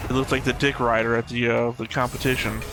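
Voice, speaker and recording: Male reaction host, casual and sardonic, swears freely, some fry in the voice, soundtrack music underneath. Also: medium pitch, little pitch movement, quick pace. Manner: blunt, profane, sardonic.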